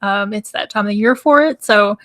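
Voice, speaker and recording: A woman hosting a casual live stream, chatty and rambling, with a hoarse, crackly, nasal voice from congestion. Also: medium pitch, normal speed, fairly bright tone. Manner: chatty, rambling, laughs often. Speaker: host. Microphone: microphone.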